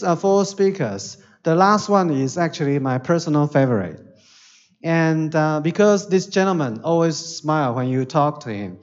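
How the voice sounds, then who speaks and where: A man hosting an online forum, introducing the next speaker in a halting way with many fillers. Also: a little high, a little mellow, muffled recording. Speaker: forum host.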